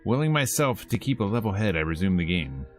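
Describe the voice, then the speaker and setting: A man's voice: lowish voice, sing-song, brisk, soft background music. A man hosting a let's play, reading visual novel text aloud with playful, casual asides. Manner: playful, casual asides between read lines. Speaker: host of a gameplay video series.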